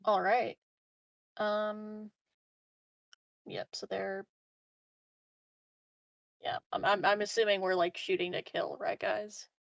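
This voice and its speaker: A woman's voice: mid-range voice, little pitch movement, slow, creaky, long pauses, no room sound. A woman chatting casually as a player in an online tabletop roleplaying game.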